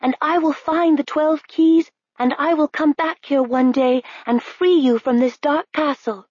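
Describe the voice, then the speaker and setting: A woman's voice: sits high, measured pace, darkish tone, no echo, pitched above the performer's own voice. A woman voicing a brave, hopeful young princess who speaks earnestly. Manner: earnest, pleading. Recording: slightly dull, dry recording.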